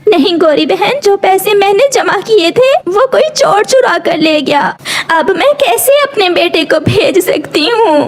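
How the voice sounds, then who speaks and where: A woman's voice: extremely high pitch, lively intonation, measured pace, pitched above the performer's own voice. A woman voicing an earnest, tender cartoon mother bird who pleads plaintively for her child. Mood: sad. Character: kind, hardworking mother bird, tearful over stolen savings. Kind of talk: Hindi moral-story cartoon acting.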